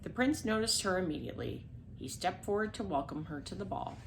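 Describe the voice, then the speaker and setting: Female storyteller, lowish creaky voice, children's fairy tale read-aloud with small slips. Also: animated, a little fast, background noise. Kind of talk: scripted children's storybook narration.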